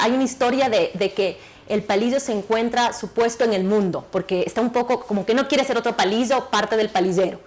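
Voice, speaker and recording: Guest author: woman, 30s, bit high voice, quick animated chat with lots of restarts. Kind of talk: TV interview, casual chat.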